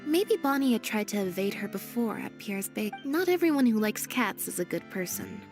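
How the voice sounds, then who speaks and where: A perceptive young woman from a video game, acted by a woman. She speaks in a composed, thoughtful way at a medium pitch. Soft music sits faintly behind her. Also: big pitch swings, normal speed, natural voice.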